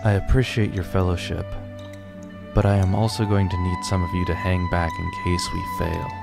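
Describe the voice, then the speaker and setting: A man playing a weary, determined father who leads a rebellion, narrating his story gravely and earnestly in an audio drama. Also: low-pitched, little pitch movement, normal speed, over loud music. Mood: resolute. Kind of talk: scripted first-person narration and audio drama dialogue.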